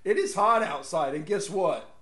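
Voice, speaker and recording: High-pitched, slightly hoarse American radio host man. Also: lively intonation, normal speed, a bit bright. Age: middle-aged. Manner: rambling, folksy. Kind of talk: casual solo radio show chat.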